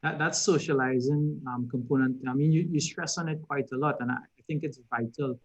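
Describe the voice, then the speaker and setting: A man's voice: medium pitch, steady tone, fairly quick. A man moderating a panel, reflecting briefly on a point and then prompting the guest with a follow-up question. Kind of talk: conversational panel discussion. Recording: dull lo-fi recording.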